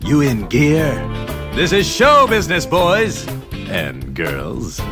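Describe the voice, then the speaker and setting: A man's voice: on the high side, huge pitch swings, music in the background, exaggerated voice. An actor playing a brash, ratings-hungry racing commissioner and presenter in a video game, showy and condescending in his sports announcing. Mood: menacing showmanship. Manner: showy, condescending, drawn-out emphasis. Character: brash, ratings-hungry male racing showman, taunting and bombastic.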